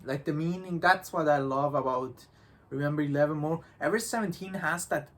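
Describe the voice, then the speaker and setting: Guy with kinda high voice, chatting as a reviewer, halting, repeats himself and trails off. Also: fairly bright tone. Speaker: visual novel reviewer and co-host. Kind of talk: casual discussion.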